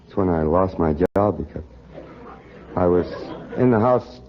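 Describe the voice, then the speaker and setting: A man's voice: sits low, measured pace, highs cut off, a little hiss or hum. An American man as a quiz contestant, telling anecdotes in a hesitant, unassuming way on a vintage radio broadcast. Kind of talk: casual anecdotes in an interview. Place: radio studio.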